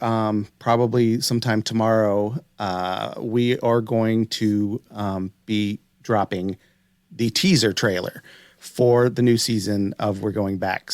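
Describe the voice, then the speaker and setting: A male podcast host chats in a loose, enthusiastic ramble. His voice has a middle pitch. The sound is dry, with hardly any room on it. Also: dry recording. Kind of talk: casual podcast chat.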